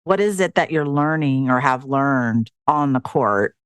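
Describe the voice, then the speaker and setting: A woman's voice: extremely low pitch, animated, a little slow, clear, resonant tone, no room sound. A woman from the United States hosting a podcast, putting questions to her guest in a conversational way. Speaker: podcast host, interviewer. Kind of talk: conversational interviewing.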